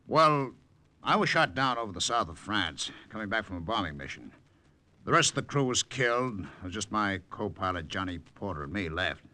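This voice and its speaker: A low, forceful male voice with very lively swings of pitch a plainspoken American airman major. He tells his tale in folksy 1940s radio drama fashion, frank and grateful. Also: normal speed. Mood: relieved, frank.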